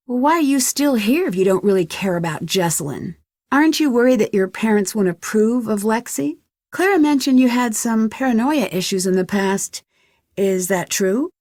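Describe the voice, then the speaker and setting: Young american girl detective for a pc mystery game, curious n polite, higher voice, very dry studio sound. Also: lively intonation, normal speed, a little mellow, dry recording. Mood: earnest questioning. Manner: earnest, inquisitive, polite, clearly enunciated. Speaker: voice actor for a game's detective heroine. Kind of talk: scripted adventure game dialogue.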